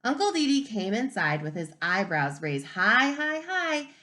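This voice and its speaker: A woman teacher reading a picture book aloud to young children in an expressive, instructive way, pausing to ask questions. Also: fairly high pitch. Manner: animated, instructive, asking the listeners questions.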